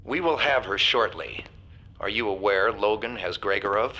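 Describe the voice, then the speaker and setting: A scheming agency director in a video game. His voice is low, bright-edged and a little husky, with soft music under it. He talks in a calm, persuasive, bureaucratic way. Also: normal speed, low-quality recording. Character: smooth-talking male agency director. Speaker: agency official. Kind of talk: game cutscene voice acting.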